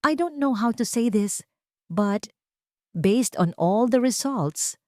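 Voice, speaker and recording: A woman with a Filipino accent voicing a hesitant, amazed, gentle doctor in an audio story. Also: on the high side, lively intonation, slow, no room sound, clean studio sound, voice pushed up.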